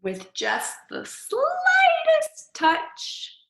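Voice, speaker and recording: Storybook young boy, performed by a woman: theatrical, full of dread, highly animated pitch, drawn-out suspense. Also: vocal fry, reverberant, background noise, heavily acted. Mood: mounting horror. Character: dramatic young boy who dreads eating peas. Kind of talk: animated storybook read-aloud.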